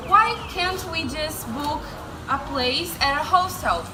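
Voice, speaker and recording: Woman, high pitch, casual interviewer, echoey noisy recording. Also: takes it slowly. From Brazil. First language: Portuguese. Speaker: interviewer, English-teaching vlogger. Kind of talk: casual chat. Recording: echoey room with clear background noise.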